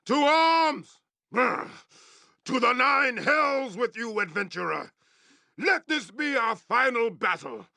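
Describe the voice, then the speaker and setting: An actor playing a savage, proud orc chieftain in a fantasy video game, growling threats in blunt, broken English. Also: male voice, extremely high pitch, sharp tone, no room sound, clean studio sound, heavily acted. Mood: roaring, furious. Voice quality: gravelly growl. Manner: broken, blunt English with barked war cries.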